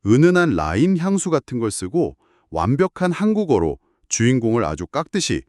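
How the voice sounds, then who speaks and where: Lively storytelling Korean man. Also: medium pitch, normal speed, dry recording, clean studio sound. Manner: storytelling, acts out quoted lines. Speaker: co-host retelling a listener story. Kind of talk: radio book story discussion.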